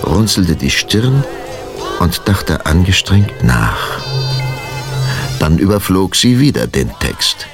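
A man's voice: low-pitched, sing-song, slow pace, pauses often, over music, exaggerated voice. An actor narrating a youth radio play as a grave male storyteller. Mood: measured, suspenseful. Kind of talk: scripted audio drama narration.

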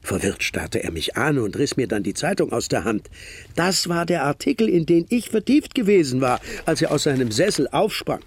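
An actor playing a devoted Victorian gentleman who narrates a German radio drama in an expressive, literary style. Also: on the high side, normal speed, breathy edge, full character voice. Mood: triumphant, amused.

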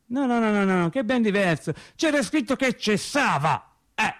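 A man's voice: high-pitched, expressive, moderate pace, a bit bright. A man playing an earnest, rambling working-class factory worker in a theatrical radio monologue, talking colloquially and emphatically, repeating and interrupting himself. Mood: animated musing.

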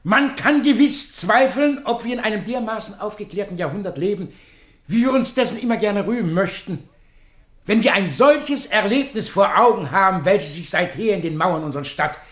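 A man's voice: high-pitched, expressive, moderate pace, a bit bright. A man playing a grandiloquent, pedantic scholar acting as defence counsel in a radio play, with florid, pompous oratory. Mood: pompous, ingratiating. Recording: roomy, echoing sound.

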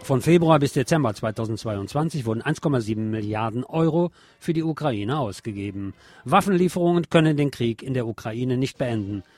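A man's voice: medium pitch, animated, a little fast, darkish tone, faint music behind. A man presenting on radio, reading scripted news and political commentary.

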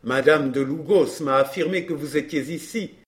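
A man playing a courteous, ironic gentleman in a dramatised audiobook, speaking politely and with phlegmatic calm. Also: fairly high pitch, normal speed, lightly acted. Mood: calm irony. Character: Baron de Fresnay, unflappable, courteous, ironic gentleman.

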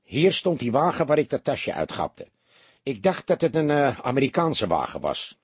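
A man's voice: medium pitch, very animated, normal speed, husky, no room sound, voice pushed up. A man voicing a cheeky, defensive small-time crook with colloquial, grumbling talk in an audiobook. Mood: matter-of-fact.

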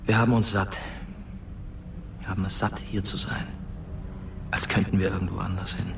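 A man's voice: low voice, little pitch movement, moderate pace, gravelly, noisy background. An actor playing a dead teenage boy who narrates a radio drama in a wistful, reflective, intimate monologue. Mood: weary. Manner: reflective, rhetorical questions. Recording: music under the voice. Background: prominent music.

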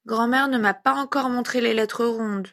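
A woman's voice: high-pitched, normal speed, pushed and bright, voice pushed up. A woman voicing an eager, polite little boy who is curious and easily delighted, in a read-aloud story. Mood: sheepish.

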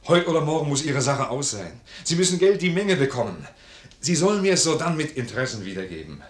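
An actor playing a loyal, plain-spoken, stubbornly generous sergeant in a 1950s German radio play, hearty and earnest. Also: male voice, on the high side, very animated, fast. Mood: pleading, heartfelt. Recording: roomy vintage radio studio recording.

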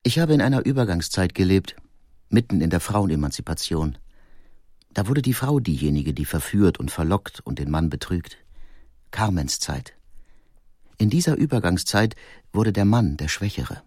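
An actor playing a painter who looks back gravely on love, in a measured, reflective reading for an audio documentary. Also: male voice, low-pitched, sing-song, darkish tone, dry recording, clean studio sound. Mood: grave reflection. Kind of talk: acted reading of written memoirs in an audio documentary.

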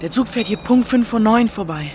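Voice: mid-range voice, lively intonation, moderate pace, very noisy, natural voice. A performer playing a guarded, literal-minded boy of thirteen in a German radio drama, matter-of-fact and questioning.

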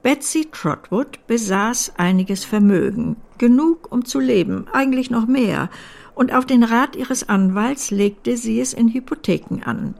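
An actress playing a blunt but loving older great-aunt in a German radio drama, dry and emphatic in a theatrical period style. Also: female voice, a little high, animated, measured pace, vocal fry, a little mellow. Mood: brisk, matter-of-fact. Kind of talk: German radio play acting of a period novel.

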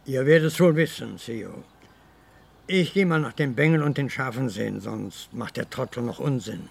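Deferential henchman: gravelly mid-range voice, earthy and obliging, radio crime drama. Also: medium pitch, lively intonation, normal speed, heavily acted. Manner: respectful, earthy. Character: deferential Sicilian henchman serving his mafia uncle.

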